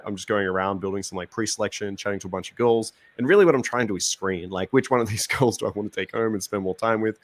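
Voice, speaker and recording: A man's voice: lowish voice, no echo. A man explaining a method step by step in a casual panel chat, filling his speech with "like" and "um". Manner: quick, methodical, heavy on fillers like "like" and "um". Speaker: dating coach on a panel.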